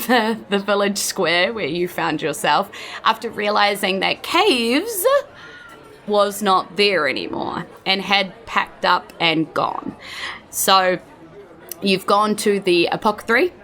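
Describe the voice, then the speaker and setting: A woman's voice: medium pitch, slow pace, sharp tone. A woman with a New Zealand accent, hosting and narrating a tabletop game in a playful, informal way. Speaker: dungeon master, host. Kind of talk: chatty game narration, recapping a tabletop adventure.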